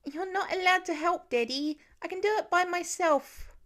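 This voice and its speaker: Woman voicing a high-pitched, lisping little boy. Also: moderate pace, fairly bright tone. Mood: eager, insistent. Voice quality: childish lisp. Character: small eager boy, earnest and easily upset.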